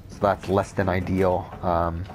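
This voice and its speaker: A young man with a cold, rambling through casual gameplay commentary, talking off the top of his head with a deep, unclear voice and frequent swearing. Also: little pitch movement, unhurried. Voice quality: deep, not clear. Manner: rambling, unscripted, says whatever comes to mind, swears often. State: has a cold. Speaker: Let's Play commentator.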